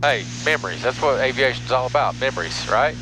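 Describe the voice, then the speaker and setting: Loud aircraft drone surrounds an American man of middle age with a medium-pitched voice. He talks casually into a headset from a small cockpit, explaining things like a vlog host as he flies. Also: lively intonation, fairly quick. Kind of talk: casual explanatory vlog talk while flying. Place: cockpit of small propeller airplane. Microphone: aviation headset mic. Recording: loud aircraft engine hum, headset intercom.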